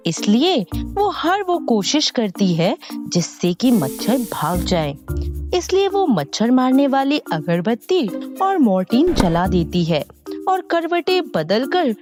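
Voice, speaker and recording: Female narrator for moral tales: high voice, lively swings in pitch, music underneath. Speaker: story narrator. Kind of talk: expressive scripted story narration. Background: background music.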